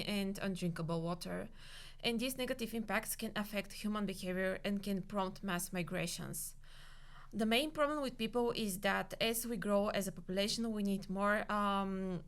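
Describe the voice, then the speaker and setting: A woman with a mid-pitched voice reads a prepared segment for a student podcast. She hesitates often, restarting words and filling pauses. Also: clear, resonant tone. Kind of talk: reading a prepared segment on the environment.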